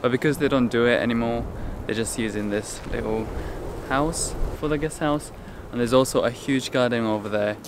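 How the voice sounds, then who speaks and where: A man's voice: medium pitch, normal speed, noisy background. A young man vlogging casually as he tours a countryside guest house, chatty and upbeat with frequent ums, filming himself at arm's length. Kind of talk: casual travel vlog tour. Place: guest house indoors and snowy farmyard outdoors. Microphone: handheld camera at arm's length.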